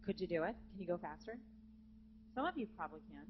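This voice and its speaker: Female vocal coach, low natural voice, encouraging clinic delivery; dull live hall recording with a faint hum. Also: quick pace. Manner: lively, encouraging, demonstrates with sung examples. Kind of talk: live masterclass teaching. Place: conference hall with student audience.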